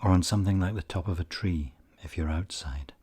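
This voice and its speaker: A man leading a guided meditation in a calm, measured way with frequent pauses, his husky voice softening at the ends of phrases. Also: low-pitched. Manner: calm, measured, pausing often. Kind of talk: slow guided meditation narration.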